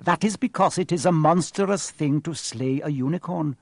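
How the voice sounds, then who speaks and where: Man doing a young male centaur, noble, protective, impassioned, high and lifted, dry close audiobook sound. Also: normal speed, no echo. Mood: solemn. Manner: urgent, solemn and impassioned.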